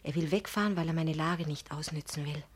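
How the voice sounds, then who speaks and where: An actress playing a spirited, determined young bride in a radio play, impulsive and expressive. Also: female voice, low voice, fairly quick, husky. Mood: dawning realisation.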